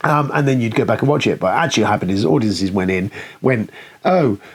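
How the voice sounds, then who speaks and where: British man, lively and slightly husky. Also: lowish voice, fairly quick. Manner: sweary, self-deprecating. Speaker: board game review show host. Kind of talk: casual, rambling video commentary.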